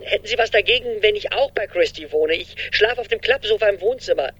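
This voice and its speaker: An actor playing an earnest, lovesick boyfriend in a German radio drama, with a husky voice. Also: male voice, high-pitched, animated, fairly quick, a bit bright, muffled recording, exaggerated voice. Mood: polite, slightly flustered. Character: earnest lovesick man, a long-distance boyfriend.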